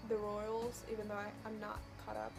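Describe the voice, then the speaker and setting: A woman's voice: medium pitch, completely flat delivery, normal speed, creaky. A young woman from Puerto Rico answering viewer questions in a casual vlog, hesitant and full of ums and likes. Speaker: vlogger. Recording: music playing behind.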